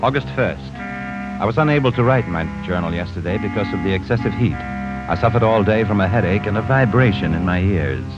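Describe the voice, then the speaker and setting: Brief: a man as a deep-voiced murderer, brooding journal narration, lo-fi old radio broadcast under music. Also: animated, brisk, lightly acted. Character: obsessed male murderer writing his journal. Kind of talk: 1940s radio drama acting.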